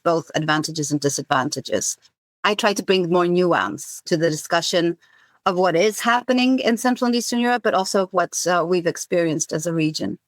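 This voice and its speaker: A woman's voice: lowish voice, no echo. A woman in her forties, raised in Zambia, thoughtfully answering interview questions about her work. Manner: thoughtful, many fillers and restarts. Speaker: podcast guest, campaign coordinator.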